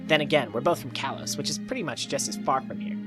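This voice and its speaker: A man's voice: mid-range voice, lively intonation, quick pace, music in the background. A man hosting a let's play, reading a visual novel aloud with jokey, irreverent asides. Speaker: let's play host and reader.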